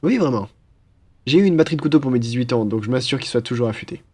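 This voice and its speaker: Guy acting as upbeat young student roommate, cheeky n slangy, super animated pitch. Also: fast, slightly rough. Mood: casual, friendly. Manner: playful, slangy, enthusiastic. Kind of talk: casual French roleplay acting.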